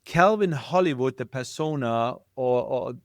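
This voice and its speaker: A man's voice: mid-range voice, animated, measured pace, no room sound, clean studio sound. A middle-aged man with a German accent, a guest in an interview, thinking aloud and searching for his words. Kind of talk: casual interview talk.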